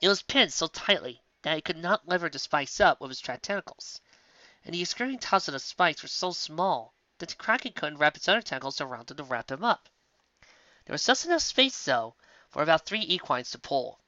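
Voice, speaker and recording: Animated man reading a story aloud. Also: on the high side, brisk, dry recording. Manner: animated, voices every character. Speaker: audiobook reader. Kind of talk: reading fan fiction aloud. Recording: dull lo-fi audio, dry.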